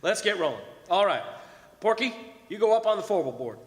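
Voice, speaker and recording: A man acts an oil crew boss in a live radio drama, practical and short on patience. The voice is notably high for a man, with bossy, plain-spoken delivery. It echoes through a reverberant hall. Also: big pitch swings, fairly quick. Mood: impatient, bossy. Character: impatient, practical male oil drilling boss. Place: performing arts center stage.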